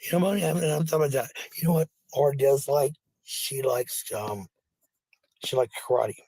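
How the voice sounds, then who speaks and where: A man's voice: mid-range voice, animated, brisk, warm tone, husky, no echo. A middle-aged man talking casually in a rambling monologue, blunt and defensive, with some swearing. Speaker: parent talking about family life.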